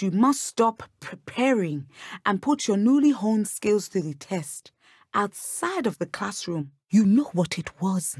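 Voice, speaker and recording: A wise, dignified professor of divination in a fantasy video game, speaks. Her voice is husky and lively in pitch, recorded very dry. She phrases things formally and with care, like a protective middle-aged teacher. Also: no room sound. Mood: encouraging, then stern concern. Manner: measured, formal, enunciated phrasing. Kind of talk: scripted game dialogue.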